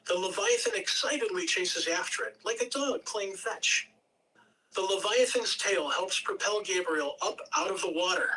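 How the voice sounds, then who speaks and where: A woman's voice: medium pitch, normal speed, sharp tone, recorded from a distance, slight background noise, poor audio. A woman reading stage directions as scripted narration at a table read.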